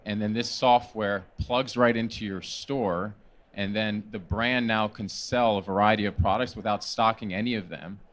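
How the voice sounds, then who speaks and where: A man with a fairly low voice speaks on a trade-show panel. He explains an idea with energetic, salesy enthusiasm. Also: moderate pace. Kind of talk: panel discussion.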